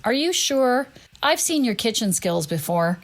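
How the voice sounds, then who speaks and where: A man's voice: extremely high pitch, very animated, unhurried, fairly bright tone, heavily acted. An actor playing a skeptical man suggesting a takeout dinner in a commercial. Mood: doubtful, suggesting. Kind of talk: radio commercial acting.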